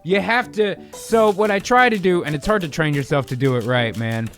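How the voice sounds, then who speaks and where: Guy with a slightly high voice rambling and joking on a comedy stream, swears a lot, music under him. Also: normal speed, a bit bright. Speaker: co-host of a comedy improv livestream. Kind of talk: casual banter, swearing freely.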